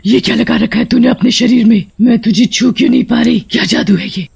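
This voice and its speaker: A cursed witch from a horror tale, acted by a woman. Her voice is husky and menacing. She stretches out her threats and cackles as she taunts. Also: a little high, fairly quick, a little mellow, pitched above the performer's own voice, exaggerated voice. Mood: menacing, gloating.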